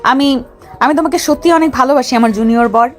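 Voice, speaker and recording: Woman acting a high-voiced feisty young bride. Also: fast, natural voice. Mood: loving. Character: feisty, loving young bride, older than her groom. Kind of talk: audio love story acting.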